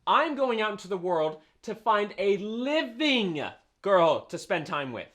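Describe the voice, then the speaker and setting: A young man acting an exasperated young writer in a comedy web series, with an emphatic, animated delivery. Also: high voice, unhurried, heavily acted. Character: exasperated young writer haunted by his dead friends.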